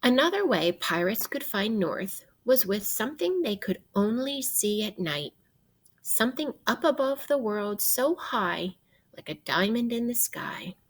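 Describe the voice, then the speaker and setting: Female teacher for children's read-aloud: slightly raspy, animated pitch, expressive, guiding delivery with questions. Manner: expressive, guiding with questions and pauses for young listeners. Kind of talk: interactive read-aloud of a children's nonfiction book.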